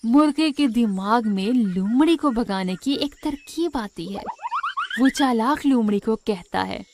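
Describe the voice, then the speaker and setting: Female narrator for children's tales: slightly high voice, very unhurried scripted delivery. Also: expressive, darkish tone, slight background noise.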